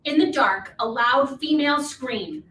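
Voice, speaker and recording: High, bright woman reading stage directions. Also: unhurried. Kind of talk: scripted narration in a live play reading. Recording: distant, echoey room recording with hiss.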